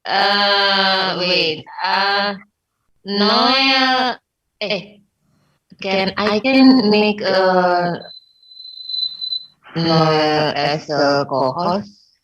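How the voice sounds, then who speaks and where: Slow, halting Indonesian woman coordinating. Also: mid-range voice, steady tone, a bit bright, recorded from a distance, poor audio. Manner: halting, searching for words. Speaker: event organiser. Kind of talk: casual technical coordination before a livestream.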